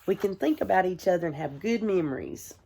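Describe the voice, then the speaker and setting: A woman, a kindergarten teacher, reading a storybook aloud to young children in a gentle, expressive way. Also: on the low side, creaky, husky. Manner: gentle, hushed, expressive storytelling with deliberate pauses; addresses young listeners with simple questions.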